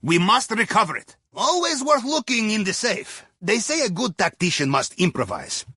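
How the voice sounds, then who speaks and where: A genial Russian ex-navy submarine captain handling a heist in a video game. His accented voice is fairly high and husky, recorded dry and close. He gives instructions in a wry, conspiratorial way, often tagging them with a question. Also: big pitch swings, normal speed, pushed and bright, no room sound, heavily acted. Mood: wry, instructive. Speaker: mission handler. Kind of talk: video game mission dialogue over comms.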